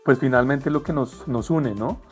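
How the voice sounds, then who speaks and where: A man's voice: mid-range voice, normal speed, faint music behind. A Colombian man interviewing a new member of his association, encouraging and affirming as he asks questions. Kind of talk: casual interview.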